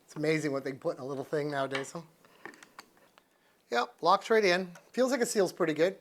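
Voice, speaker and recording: A man in his fifties with a high-pitched voice talks casually to the camera as he reviews gear. He is plain-spoken and works things out aloud. There is some background noise in the recording. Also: steady tone, a little fast. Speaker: product reviewer, vlogger. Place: living room and outdoors in a backyard by a canal. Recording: clear background noise, ambient sound.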